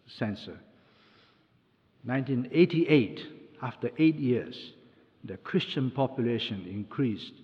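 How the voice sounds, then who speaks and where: Older male ministry worker, Japanese first language, slow and deliberate, addressing an audience; lo-fi hall recording. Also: mid-range voice. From Taiwan. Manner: slow, deliberate, lists things one by one. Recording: dull lo-fi recording with long hall reverb.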